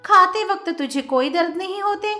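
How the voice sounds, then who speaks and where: A woman voicing a cruel, greedy stepmother who scolds and shouts insults. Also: high voice, sing-song, measured pace, soft background music, pitched above the performer's own voice, full character voice. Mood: scolding, shouting.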